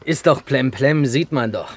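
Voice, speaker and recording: A man's voice: medium pitch, animated, measured pace. An actor playing a domineering police night patrolman, curt and barking, in a 1950s German radio drama. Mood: brusque, suspicious.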